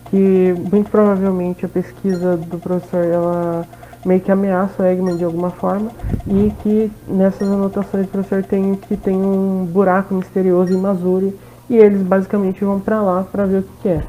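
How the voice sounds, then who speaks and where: A woman's voice: mid-range voice, level tone, normal speed. Brazilian woman, dull lo-fi gaming recap. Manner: informal, checks in with listeners often. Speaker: gaming video host. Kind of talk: casual let's play voice-over recap. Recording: dull lo-fi voice-over.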